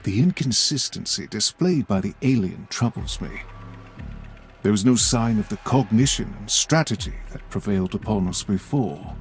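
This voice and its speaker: An actor playing a wary, analytical space marine officer, calm and formal. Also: male voice, on the low side, normal speed. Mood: troubled. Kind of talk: game cutscene voice acting. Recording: with score music behind.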